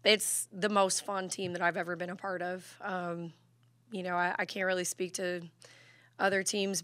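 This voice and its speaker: A woman's voice: lowish voice, no echo. A woman in her thirties, a head basketball coach, answering questions at a postgame press conference into a table microphone, with many fillers and restarts. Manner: steady, even delivery with many fillers and restarts.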